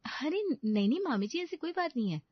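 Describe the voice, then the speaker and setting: A woman's voice: high-pitched, big pitch swings, brisk, dry recording, dull audio, voice pushed up. A woman voicing a devoted, cheerful young daughter-in-law in a story, polite, reassuring and eager to please.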